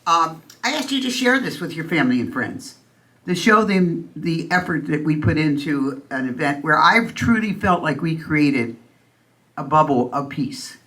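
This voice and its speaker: A woman's voice: low-pitched, animated, normal speed, fairly bright tone, rough recording. A woman hosting a church service at a stand microphone, chatty and self-deprecating, with loose fillers. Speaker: host. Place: church meeting room.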